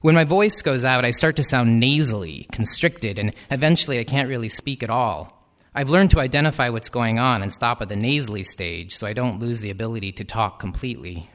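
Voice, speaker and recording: A man narrates a personal essay at an unhurried, even pace. His delivery is thoughtful and reflective, with a dry, slightly wry touch. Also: mid-range voice. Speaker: teacher.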